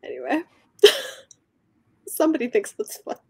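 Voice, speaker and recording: A woman's voice: sits high, expressive, a little slow, gravelly, breathy edge. A young adult woman co-hosting a book livestream in a casual, musing way, often trailing off. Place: room at home, indoors.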